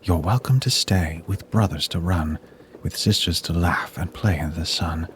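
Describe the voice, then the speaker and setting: A man voicing a kind, welcoming young woman who speaks in gentle, reassuring rhyme. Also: sits low, moderate pace, a little hiss or hum.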